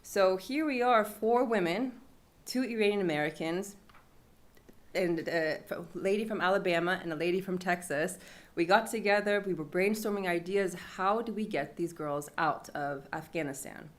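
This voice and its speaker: A woman's voice: on the low side, normal speed. A young woman recounting a personal story at a panel event, casual and conversational with fillers. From Iran. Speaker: panel speaker.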